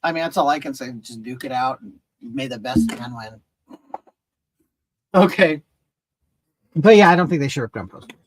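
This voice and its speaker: A man's voice: medium pitch, expressive, normal speed, long pauses, low-quality recording. Rambling man chatting while doing makeup. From rural California. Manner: rambling and hesitant, many restarts, reading and answering chat. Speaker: livestream host. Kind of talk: casual chat with viewers while doing makeup.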